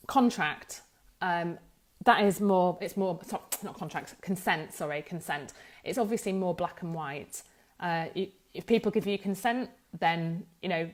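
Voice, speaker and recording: Woman explaining, slightly low voice. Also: measured pace. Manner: hesitant, thinking aloud, self-correcting. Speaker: data protection law expert presenting an explainer. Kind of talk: informal explanatory talk to camera.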